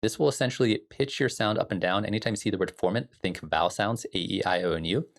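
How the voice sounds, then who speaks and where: Low-voiced, gravelly man giving a tutorial. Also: fast. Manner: informal, explanatory. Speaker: music production instructor. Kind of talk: casual software tutorial.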